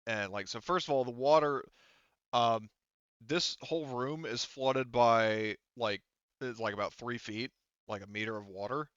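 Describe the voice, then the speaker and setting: A man running a tabletop roleplaying game as game master, describing scenes and handling rules in a halting, conversational way. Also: medium pitch, fairly bright tone, clean tone, no echo, dull audio. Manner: halting, conversational, often rephrasing mid-thought. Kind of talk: improvised tabletop game narration and casual rules talk.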